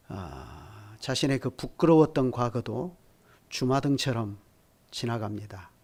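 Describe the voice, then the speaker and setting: A man in his sixties preaching a sermon at a midweek prayer service, measured in delivery and building to emphatic peaks while speaking directly to the congregation. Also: mid-range voice. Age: 60s. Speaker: pastor.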